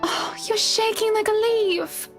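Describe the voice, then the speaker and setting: Very high-voiced, kindly lady. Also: fairly even intonation, slow, music in the background. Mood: concerned. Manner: gentle, solicitous. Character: kindly, concerned, genteel married lady. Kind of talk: horror game voice acting.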